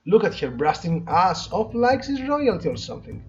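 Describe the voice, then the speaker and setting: A bullying male street thug from a visual novel as a man acts him out. He is pushy and taunting, with very changeable pitch. Faint music sits behind the dull recording. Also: big pitch swings, normal speed, a little mellow. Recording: muffled lo-fi audio with music.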